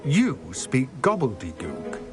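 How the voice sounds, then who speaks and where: A man's voice: mid-range voice, huge pitch swings. Grave, blunt goblin man with music behind. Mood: blunt, disapproving. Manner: measured, stern, formal. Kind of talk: video game voice acting.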